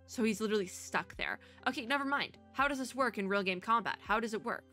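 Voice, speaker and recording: A woman hosting a casual, chatty reaction video about a game, rambling and thinking out loud in an animated way as she reads and comments. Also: fairly high pitch, quick pace, soft background music. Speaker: VTuber reaction video host.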